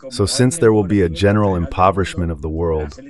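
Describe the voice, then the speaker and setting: A man's voice: low-pitched, fast talker. A synthetic male voice delivering translated political commentary, with original speech heard underneath. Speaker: AI voice reading the guest's English translation. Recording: other voices murmuring underneath. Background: original Spanish speech underneath.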